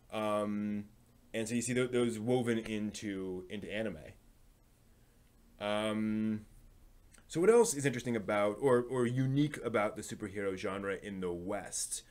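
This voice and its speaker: Man thinking aloud, halting and analytical. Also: medium pitch. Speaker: live stream host. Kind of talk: casual rambling live commentary on anime and comics.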